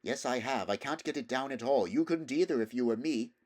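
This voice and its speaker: A man's voice: medium pitch, a little fast, darkish tone, lightly acted. A man voicing a weary, blunt young runaway boy in a storybook, plain-spoken and protesting. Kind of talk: storybook character voice.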